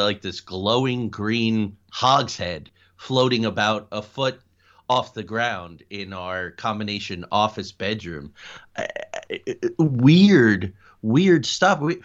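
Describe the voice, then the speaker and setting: Man, low pitch, conversational storyteller, interview guest, muffled lo-fi recording. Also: fairly bright tone. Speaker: guest, paranormal investigator. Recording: dull lo-fi call audio.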